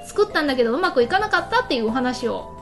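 High-voiced woman hosting over soft music. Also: sing-song, very fast. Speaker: radio show host. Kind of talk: casual chat and cooking narration. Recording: background music under the voice. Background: background music.

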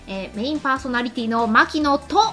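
A woman's voice: a little high, fast talker, fairly bright tone, soft background music. A woman hosting a casual internet radio show, switching between chat and scripted announcements.

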